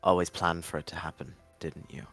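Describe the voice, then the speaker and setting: A man's voice: sits low, flat delivery, fairly quick, a bit bright, faint music behind. A man voicing a cunning, cold male warrior cat deputy in a roleplay, confident and taunting. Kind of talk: text roleplay acted aloud.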